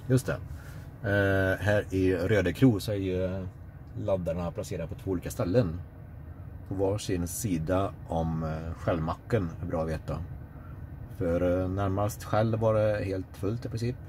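A Swedish man narrating a casual road-trip vlog, relaxed and hesitant with many fillers. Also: low-pitched. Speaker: vlogger on a car road trip. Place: electric car on the road and at charging stops. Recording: clear car and road noise.

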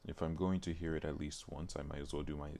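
A man thinking aloud in a halting mutter as he works at his computer on a livestream. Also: extremely low pitch, steady tone, darkish tone. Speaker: streamer. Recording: noticeable background noise, keyboard clicks. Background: typing and mouse clicking.

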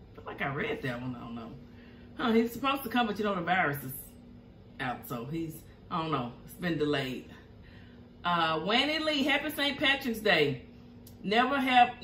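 A middle-aged woman with a Southern US accent chats and hums between bites at her table. Her voice is bright and forward. The room around her has a noticeable echo, with faint background noise. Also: mid-range voice. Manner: chatty, savoring food with hums between bites. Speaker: mukbang host. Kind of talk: casual eating show chat, reading comments.

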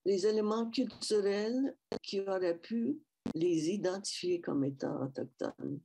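An older woman with a Métis French accent, speaking slowly and reflectively in a personal talk over a headset on a video call from home. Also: mid-range voice, warm tone, poor audio. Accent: Métis French from western Canada. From Saskatchewan, Canada. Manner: slow and reflective, with restarts and repetition. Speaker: guest speaker. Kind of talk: personal talk at a public event. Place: small room at home, indoors. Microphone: headset mic on a video call.

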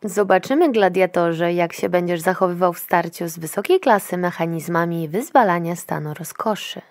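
An actress playing a brusque, officious clinic attendant in a dystopian future audio drama, clinical and commanding. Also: female voice, fairly low pitch, normal speed, no echo, clean studio sound, close to an everyday voice. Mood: brisk, teasing.

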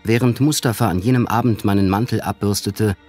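An actor playing an educated gentleman, narrating and speaking formally in an eerie audio drama. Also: lowish voice, close to an everyday voice. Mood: composed, dismissive. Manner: measured, formal, literary. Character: educated gentleman recounting an eerie past adventure. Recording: music under the voice.

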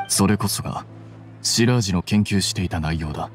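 An actor playing a cool, aloof young scholar who explains things in a composed, detached way in an anime game. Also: male voice, deep voice, fairly quick, a little hiss or hum, natural voice. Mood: analytical.

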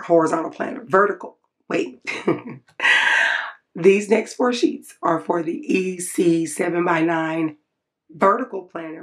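A woman with a raspy voice from the flu, chatting casually as she walks through her planner and self-corrects as she goes. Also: fairly low pitch. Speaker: planner vlogger. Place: indoors at a desk, natural light.

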